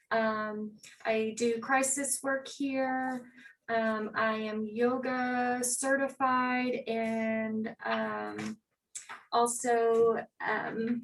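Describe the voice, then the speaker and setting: A woman counseling specialist hosting a casual panel from her office on a video call, talking haltingly with many fillers. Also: fairly high pitch, fairly bright tone, distant mic, a little hiss or hum, low-quality recording.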